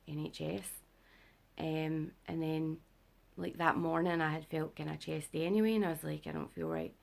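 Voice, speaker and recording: A young British woman giving a casual vlog update, her voice rough and worn because she is unwell and has not slept, rambling with lots of fillers. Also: a little mellow. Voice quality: rough gravelly voice. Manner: rambling, filler-heavy, low-energy. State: unwell and sleep-deprived. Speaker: vlogger.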